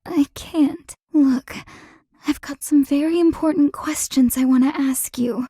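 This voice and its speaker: A woman playing a hesitant, gentle, earnest young woman in a visual novel game, speaking haltingly. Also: high voice, no echo. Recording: dry voice with music behind.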